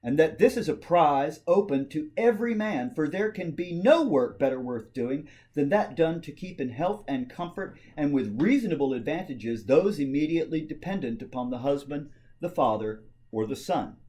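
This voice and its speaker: A man with a voice pitched a little above average performs a historical political speech. He declaims it formally, with dramatic emphasis and carefully measured phrasing. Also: lively intonation, warm tone. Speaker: amateur reader of a historical speech.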